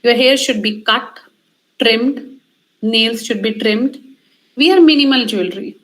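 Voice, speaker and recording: A woman's voice: on the high side, takes it slowly, a bit bright, poor audio. A woman with an Indian accent giving an instructional talk as a trainer, emphatic, repeating her points in short directives. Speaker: communication trainer giving a lecture. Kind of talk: instructional talk on interview skills.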